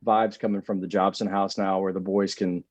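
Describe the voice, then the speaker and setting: A man's voice: low-pitched, flat delivery, moderate pace, darkish tone, no echo. A man co-hosting a casual podcast from Texas, chatting in an upbeat, easygoing way. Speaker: podcast co-host.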